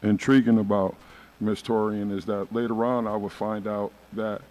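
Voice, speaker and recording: A man in his fifties with a low voice speaks as a city councilmember. He reminisces slowly and pads his remarks with fillers. He is from the city where the council meets. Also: flat delivery, darkish tone, rough recording. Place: council chamber.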